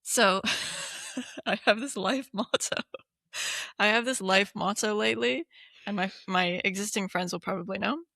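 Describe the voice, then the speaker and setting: A woman's voice: mid-range voice, unhurried, gravelly, sharp tone, no room sound, studio quality. A woman in her thirties from Hong Kong co-hosting a podcast, chatting casually with lots of fillers. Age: 30s. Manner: chatty, many fillers, laughs often. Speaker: podcast co-host. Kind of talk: casual chat.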